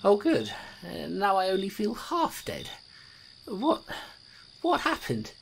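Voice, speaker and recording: A woman's voice: medium pitch, wildly swooping pitch, takes it slowly, creaky, slight background noise, full character voice. A woman playing a battered male constable bent on vengeance, flustered and in pain, in an audio drama. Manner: flustered, blurting. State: wounded, in pain.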